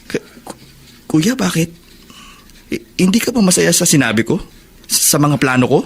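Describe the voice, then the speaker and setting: A man's voice: fairly high pitch, sing-song, normal speed, creaky, breathy edge, heavily acted. A man with a Filipino accent playing an earnest, hopeful young student determined to reform, in radio drama style. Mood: anxious.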